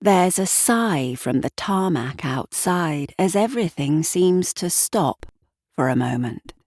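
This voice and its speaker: Woman, fiction narrator, lowish pitch, very slow delivery, wry asides, dry studio sound. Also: lively intonation, a little mellow, dry recording. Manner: wry, conversational asides to the listener. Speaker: storyteller narrating audio fiction. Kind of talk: dramatic scripted narration with character dialogue.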